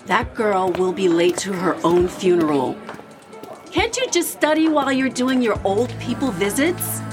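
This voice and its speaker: A woman's voice: mid-range voice, animated, measured pace, music in the background, lightly acted. An actress playing a wry young bartender who teases her friend. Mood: teasing.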